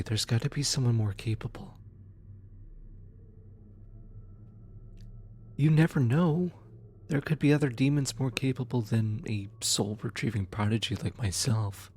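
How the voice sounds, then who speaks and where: An actor voices a gentle, awkward demon who retrieves souls, in an intimate ASMR audio drama. The voice is very breathy and soft, at a middling pitch, often sinking near a whisper. The delivery is hesitant and reluctant, yet comforting. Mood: reluctant, grumbling. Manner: hesitant, halting, sighing, muttering to self.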